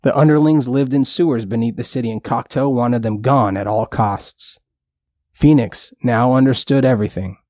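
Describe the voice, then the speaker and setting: A man's voice: mid-range voice, moderate pace, darkish tone, no room sound. A man narrating a scripted bedtime story for children in plain, simple storytelling language.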